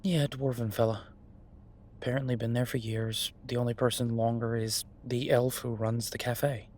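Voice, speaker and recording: A man playing an earnest, easygoing chef in a roleplay audio drama, talking haltingly and reassuringly to a partner. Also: medium pitch, level tone, darkish tone, a little hiss or hum, natural voice. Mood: casual, enthusiastic. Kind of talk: roleplay audio drama, conversational monologue to listener. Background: ambient sound effects.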